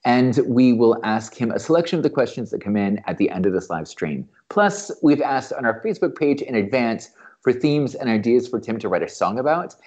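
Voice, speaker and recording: A man's voice: medium pitch, very animated, brisk, a little mellow, husky, no echo, highs cut off. A man hosting a podcast, reading an upbeat intro and then asking interview questions. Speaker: podcast host.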